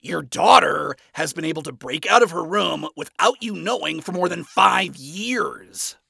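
A brash, scornful man as a male narrator acts him out in a story reading. His voice is raised and forceful with some gravel in it, and he speaks in a blunt, biting, sarcastic way, swearing freely. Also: fairly high pitch, lively intonation, a little slow, no echo, clean studio sound, voice pushed up, full character voice. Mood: scornful, exasperated. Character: brash, scornful, foul-mouthed man ranting at his enemy.

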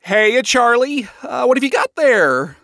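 A woman's voice: a little high, sing-song, measured pace, a bit bright, no room sound, studio quality, voice pushed up, exaggerated voice. Woman putting on a smug, swooping showman king. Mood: smug, curious. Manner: smug, exaggerated. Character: smug, flamboyant father, showman king of hell.

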